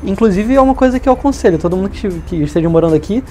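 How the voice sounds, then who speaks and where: A man in his twenties with a softened Rio de Janeiro accent and hushing s sounds, explaining things in a casual interview. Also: sits high, animated, rapid-fire, soft background music. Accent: softened Rio de Janeiro Brazilian. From Brazil, Rio de Janeiro. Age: 20s. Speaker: interview guest, leader of a community media project.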